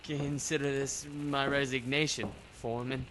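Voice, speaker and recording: An actor playing an anxious, evasive war veteran and drifter, edgy and clipped, in an old-time radio drama. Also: male voice, mid-range voice, flat delivery, normal speed, background noise, close to an everyday voice. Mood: shaken.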